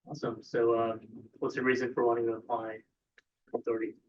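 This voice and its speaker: Brisk council president, dull audio. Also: male voice, medium pitch, monotone, a little mellow. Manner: brisk, with many fillers. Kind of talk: chairing a meeting. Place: council meeting room.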